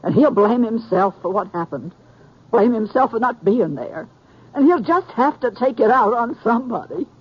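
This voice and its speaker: A worried frontier woman in a 1950s radio western. Her husky voice is earnest and urgently pleading, heard through a muffled old recording. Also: a little high, expressive, normal speed. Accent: American.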